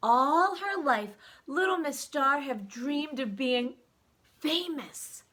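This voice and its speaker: A woman reading a story aloud to young children, expressive, with dramatic emphasis and questions to the listener. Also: high voice, takes it slowly.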